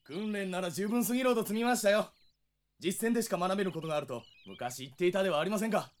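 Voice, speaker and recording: A male actor playing an eager, dutiful young knight with a brisk manner in a Japanese drama CD. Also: high voice, close to an everyday voice. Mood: keen.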